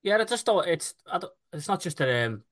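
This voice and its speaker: A man's voice: high voice, sing-song, fairly quick, a bit bright. Liverpudlian man, earnest football podcaster. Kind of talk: casual fan chat.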